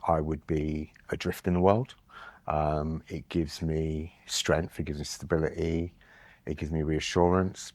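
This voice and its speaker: A man with an extremely deep voice speaks in measured, earnest phrases. He is reflective and sincere. The sound is close and dry. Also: no echo. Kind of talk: intimate interview conversation.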